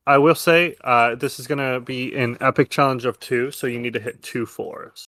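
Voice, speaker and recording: A man's voice: medium pitch, fairly even intonation, moderate pace, bright, forceful tone, no echo. A man acting as game master, narrating casually and explaining the rules in informal asides. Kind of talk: improvised narration of a tabletop game, casual table talk. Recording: music under the voice. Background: background music.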